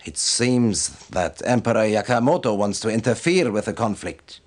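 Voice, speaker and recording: An actor playing a stern, devout military commander who gives solemn, formal and deliberate briefings in a video game. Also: male voice, fairly low pitch, expressive, close to an everyday voice. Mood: grave, measured.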